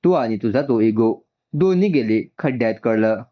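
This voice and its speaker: A man's voice: mid-range voice, expressive, moderate pace, lightly acted. A man voicing a feisty, resentful young woman in a told story, grumbling and scolding. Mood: angry muttering. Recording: dull, muffled lo-fi sound.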